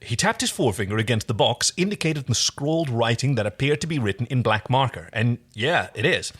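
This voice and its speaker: Animated male podcast host. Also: mid-range voice, fairly quick, husky. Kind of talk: casual chat and fan fiction reading.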